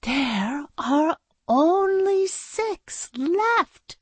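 A woman's voice: mid-range voice, expressive, darkish tone, breathy edge, dry recording, pitched above the performer's own voice, exaggerated voice. A woman voicing an exasperated, scolding woman schoolteacher in a children's story, with dramatic, emphatic delivery. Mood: outraged. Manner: dramatic, emphatic, drawn-out stressed words.

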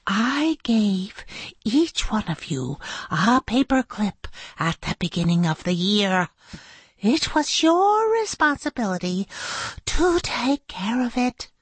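A woman's voice: medium pitch, big pitch swings, slow pace, husky, dry recording, voice pushed up, full character voice. A woman reading a storybook aloud, voicing a stern schoolteacher who is exasperated and sometimes drops to a tense whisper. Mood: angry. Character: stern woman schoolteacher fussing over paperclips.

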